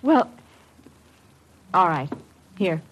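A woman's voice: on the low side, big pitch swings, extremely slow, slightly rough, heavily acted, low-quality recording. An actress playing a young bereaved woman, hesitant and defensive, in an old-time radio drama. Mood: subdued, hesitant.

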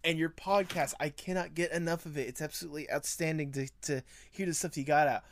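A man's voice: fairly high pitch, steady tone, fast. A man hosting an interview in a casual, encouraging and complimentary way. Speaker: interview host. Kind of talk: casual chat.

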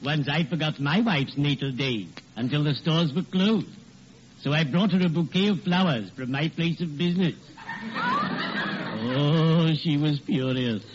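An actor playing a lugubrious, genteel American undertaker with formal, punning speech in 1940s radio comedy. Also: male voice, fairly high pitch, natural voice. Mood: droll, morbid. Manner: formal, mournful, punning, drawn-out delivery. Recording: noisy, muffled vintage radio recording.

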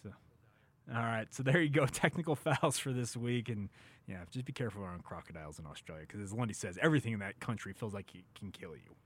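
A man's voice: on the low side, quick pace, husky. An American man hosting a sports radio show in a casual, conversational style. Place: radio studio.

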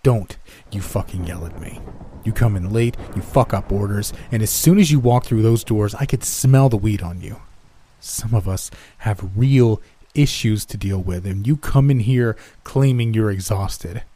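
A man voicing a bullying older male diner owner who yells, curses and sneers. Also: lowish voice, slow pace, few pauses, breathy edge, exaggerated voice. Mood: furious. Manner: yelling, cursing, contemptuous.

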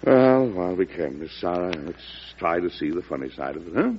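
Casting: shrewd American president, oratorical yet folksy, widely swooping delivery, vintage muffled radio sound. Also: male voice, deep voice, big pitch swings, a little hiss or hum. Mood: wry, amused. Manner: oratorical, deliberate, chuckling in private talk. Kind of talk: 1940s radio acting. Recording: dull old radio recording, husky sound.

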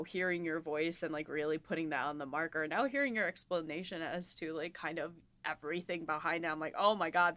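A woman with a lowish voice co-hosts a casual conversation. She talks in an enthusiastic, gushing way, with "like" scattered through loose, informal sentences. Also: fairly bright tone. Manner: gushing, heavy use of "like". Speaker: podcast host, series writer. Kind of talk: casual chat.

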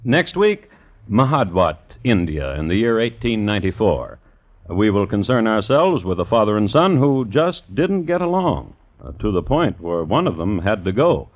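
An actor playing a dry, macabre gentleman crime historian who narrates a 1950s radio show in a scholarly, deadpan way. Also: lowish voice. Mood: dryly genial. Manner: measured, wry, deadpan, scholarly. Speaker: narrator and host. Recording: dull vintage radio recording.

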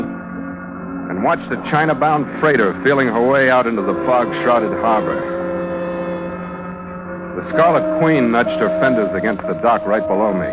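A tough young American skipper from a vintage radio adventure. He talks in a terse, wry, hardboiled way with lively swings of pitch. The recording is dull and old, with music behind him. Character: tough, sardonic young sea captain narrating his adventures. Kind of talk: 1940s radio acting.

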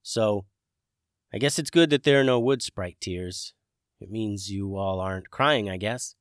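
A man's voice: on the low side, measured pace, dry recording, studio quality. Anxious stammering dragon, a man voicing him, storybook reading. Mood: agreeing. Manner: flustered, stammering. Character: anxious friendly male dragon.

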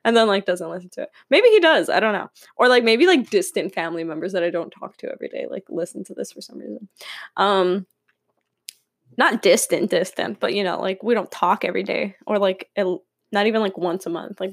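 A woman's voice: medium pitch, no echo, studio quality. A young woman from California hosting her own podcast, chatting casually and rambling with many fillers and frequent laughs, recorded at home. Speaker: podcast host. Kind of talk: casual solo podcast chat. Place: room at home with windows open.

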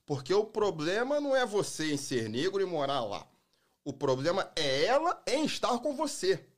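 A man's voice: high-pitched, very animated, moderate pace. Emphatic Brazilian man with a Rio accent. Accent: carioca. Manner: emphatic, swears he never felt prejudice. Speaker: guest. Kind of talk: casual podcast chat.

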